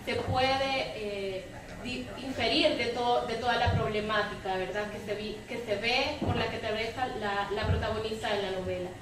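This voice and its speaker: Female panelist, halting and tag-heavy, slightly high voice, recorded in a very echoey hall. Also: fairly even intonation, crowd noise. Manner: halting, frequent tag questions seeking agreement. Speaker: panelist, feminist lawyer. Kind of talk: panel discussion. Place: large echoey room at a public event. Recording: echoey hall, distant mic, clear noise.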